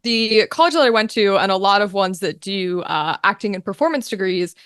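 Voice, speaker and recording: A young woman chatting casually as an interview guest, telling her story in an animated, informal way. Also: mid-range voice, fairly quick, clear, resonant tone, no room sound, clean studio sound. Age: young adult. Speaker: guest, voice actor.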